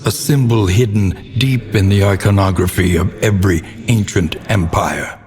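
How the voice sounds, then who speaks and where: An actor voicing a grim, learned older narrator of a gothic video game, speaking in an ominous, solemn, theatrical way. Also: male voice, low-pitched, moderate pace, a little mellow, close to an everyday voice. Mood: brooding reminiscence. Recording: long reverb with faint background noise.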